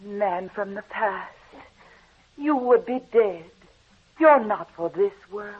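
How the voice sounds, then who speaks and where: Through a narrow old radio band comes a woman back from the grave. She speaks slowly and solemnly, giving cold, vengeful commands. It is portentous vintage horror drama. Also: medium pitch, animated, slight background noise.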